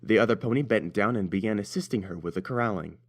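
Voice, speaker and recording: A man's voice: fairly low pitch, fast. A man narrating a scripted story as its reader.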